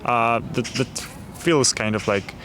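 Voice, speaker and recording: A man's voice: mid-range voice, unhurried, a bit bright. A man from Poland with a Polish accent, answering a street interview as a tourist and talking hesitantly with many fillers. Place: city street outdoors. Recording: street noise with traffic.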